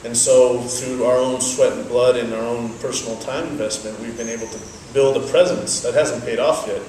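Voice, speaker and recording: A young man whose first language is Dutch, giving measured, explanatory answers in an interview. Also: mid-range voice, steady tone, a little mellow, slight background noise. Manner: measured, explanatory, thoughtful pauses. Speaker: interviewee, business owner. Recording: very echoey, from a distance, faint ambience.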